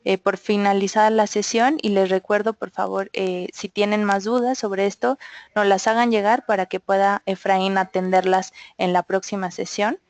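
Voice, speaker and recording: A woman's voice: mid-range voice, little pitch movement, normal speed, a little mellow, dry recording. Polite woman moderating, dry sound. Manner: polite, with frequent "eh" fillers. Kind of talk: webinar moderation.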